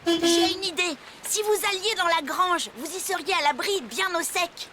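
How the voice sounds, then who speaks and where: A woman voicing a lively, childlike farm character in a French children's cartoon, expressive and exclamatory. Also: extremely high pitch, moderate pace, background noise. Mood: eager.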